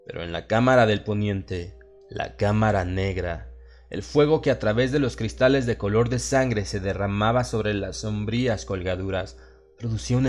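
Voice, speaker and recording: A man with a Latin American Spanish accent narrates a horror audiobook in a solemn, measured, dramatic way. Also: lowish voice, darkish tone. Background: music.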